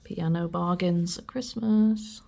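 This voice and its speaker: A woman's voice: fairly low pitch, steady tone, takes it slowly, gravelly, dark tone. A woman casually reading out old adverts in short murmured remarks, often half-whispering. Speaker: vlogger. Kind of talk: casual commentary while reading aloud old newspaper adverts.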